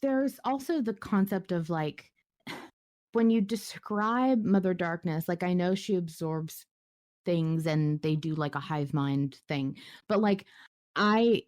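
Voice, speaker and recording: Casting: female podcast host, slightly low voice, playful and wry, chatty delivery, very dry room sound. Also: takes it slowly, darkish tone, no room sound. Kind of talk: casual podcast banter and discussion.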